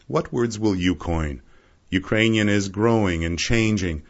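Slow, wry Canadian man on radio. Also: lowish voice. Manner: wry, playful, slow and deliberate. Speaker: radio segment narrator. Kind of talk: scripted radio narration. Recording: dull lo-fi radio broadcast.

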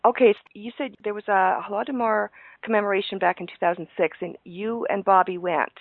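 A woman from Canada hosting a radio program, introducing a guest and asking measured questions. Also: medium pitch, steady tone, no room sound, phone-quality sound. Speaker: radio program host, interviewer. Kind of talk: radio interview.

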